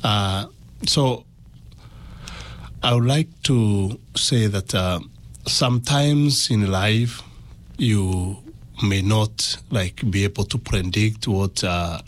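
A man with a Kenyan accent giving a personal testimony as an interview guest, in halting, deliberate phrases. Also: fairly low pitch.

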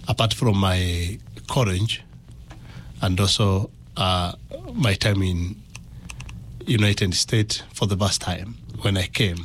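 A man with a Kenyan accent giving a personal testimony as an interview guest, in halting, deliberate phrases. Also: lowish voice, slight background noise.

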